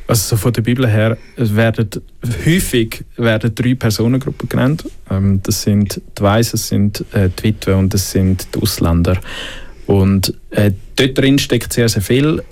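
A man's voice: lowish voice, moderate pace, darkish tone. A Swiss man with a Swiss German accent, thoughtfully discussing the Bible in a faith conversation, with many filler sounds and restarts. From Switzerland. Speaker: panel guest discussing the Bible.

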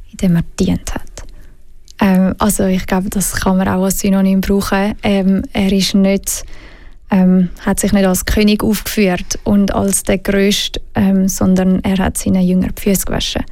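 A woman's voice: mid-range voice, little pitch movement, moderate pace, a little mellow, no echo. A woman with a Swiss German accent, speaking earnestly about faith, hesitant with many fillers. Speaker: panel guest. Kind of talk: faith discussion.

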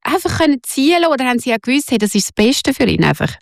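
A woman's voice: high-pitched, sing-song, very fast, vocal fry, dry recording, clean studio sound. A woman, interviewing a guest, curious and encouraging with short affirmations. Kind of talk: podcast interview.

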